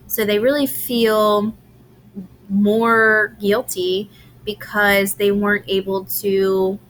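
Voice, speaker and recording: A woman giving a recorded lecture presentation, unhurried with frequent "um"s and restarts, her voice a little strained from allergies. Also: medium pitch, fairly bright tone, slight background noise, poor audio. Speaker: presenter on grief and incarceration.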